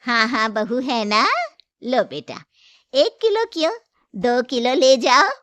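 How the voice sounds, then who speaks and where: A woman playing a gentle, patient elderly mother-in-law who keeps a small shop in a Hindi moral story. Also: fairly high pitch, expressive, slow, no room sound. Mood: kindly, indulgent.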